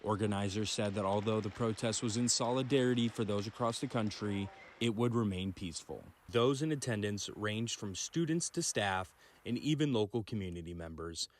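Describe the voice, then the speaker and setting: A young man narrating a news report as a student reporter, in a steady reporting cadence. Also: on the low side, moderate pace, a little hiss or hum. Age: young adult.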